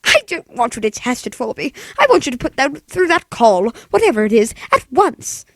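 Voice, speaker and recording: A woman's voice: big pitch swings, moderate pace, no room sound, clean studio sound, full character voice. A woman playing a nervous, demanding, self-pitying invalid wife in a melodramatic 1940s-style radio play. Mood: indignant, nervous. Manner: imperious, melodramatic, shrill, fretful.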